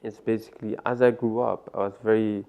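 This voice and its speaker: Deep gravelly man. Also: little pitch movement, brisk, mellow. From Afghanistan. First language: Farsi. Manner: hesitant, many fillers and restarts. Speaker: interview guest. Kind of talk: personal story interview. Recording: muffled lo-fi sound.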